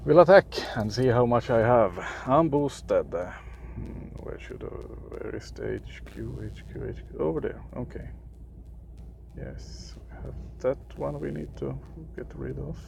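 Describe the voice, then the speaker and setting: A young man from Sweden with a Swedish accent, for whom English is a third language, giving casual gameplay commentary in a halting way, often searching for words. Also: mid-range voice, animated, vocal fry, noisy background. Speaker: gaming video creator.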